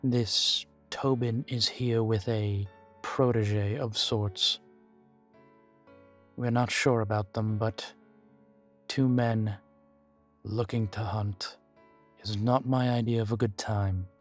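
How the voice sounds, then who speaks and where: Slow, low-key ancient vampire played by a man. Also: fairly even intonation, soft background music. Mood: grave, measured. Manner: measured, deliberate, with dramatic pauses. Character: ancient male vampire, guarded, weary, grave. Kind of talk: scripted audio roleplay addressed to the listener.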